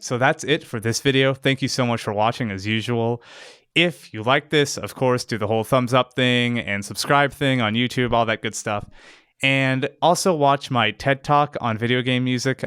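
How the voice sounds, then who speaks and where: A man's voice: mid-range voice, fairly bright tone, smooth, no room sound, studio quality. A man hosting a casual instructional video, explaining step by step as a sound designer. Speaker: tutorial host, sound designer. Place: close, dry voice-over recording.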